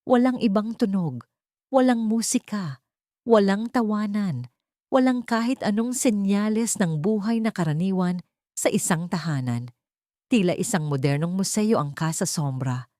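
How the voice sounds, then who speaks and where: Female audiobook storyteller, slightly low register, very expressive intonation, scripted drama, dry sound. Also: no echo. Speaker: audiobook narrator.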